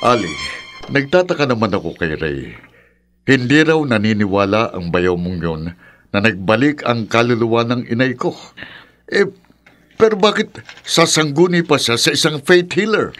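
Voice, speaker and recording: A man's voice: mid-range voice, expressive, moderate pace, a bit bright, faint music behind, close to an everyday voice. A man playing a concerned, sceptical father of grown daughters in a radio drama, questioning and reassuring. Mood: puzzled. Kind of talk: horror radio drama acting. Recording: clear background noise.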